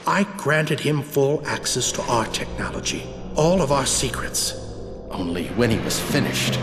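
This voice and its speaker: A man playing a guilt-ridden older alien general in exile in a video game, with a dramatic, halting delivery. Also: medium pitch. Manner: dramatic, brooding, halting confession. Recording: echoey hall, loud music behind.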